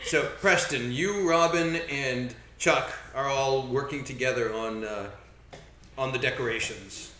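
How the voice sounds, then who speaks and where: A man's voice: mid-range voice, unhurried, bright, forceful tone, a little hiss or hum. A man narrating a live tabletop game as game master in a playful, easygoing way. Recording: roomy echo.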